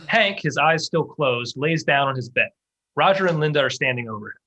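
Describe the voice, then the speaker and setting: A man narrates a script's scene headings and actions. His voice is bright and forceful, sitting slightly high for a man. The delivery is scripted narration. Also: expressive, fairly quick, low-quality recording. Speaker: stage-direction reader.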